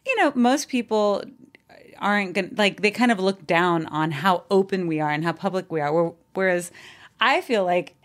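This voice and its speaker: A woman's voice: mid-range voice, expressive, normal speed. A woman in her forties giving frank relationship advice in a casual podcast conversation. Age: 40s. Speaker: relationship expert guest.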